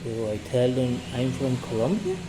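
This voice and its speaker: A man's voice: medium pitch, very animated, normal speed, background noise. A man teaching English by reading a short story and drilling it with questions he asks and answers himself, repeating each sentence.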